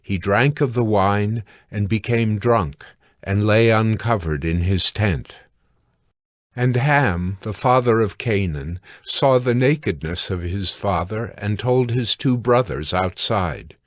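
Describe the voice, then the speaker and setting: A man narrates scripture in a fairly low voice. His delivery is very unhurried, measured and sober. The sound is dry and close, free of room echo.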